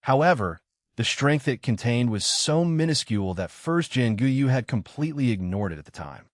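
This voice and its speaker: A man narrating a fantasy novel in a steady, dramatic reading as audiobook narrator. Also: medium pitch, normal speed, no echo, clean studio sound.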